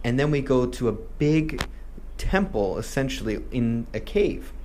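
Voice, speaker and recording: A man who grew up in Malaysia hosts a promotional video, answering questions about a tour. He explains things in a friendly, steady way, sometimes restarting a phrase, in a voice of middling pitch. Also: unhurried, a little hiss or hum, low-quality recording. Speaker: tour company host.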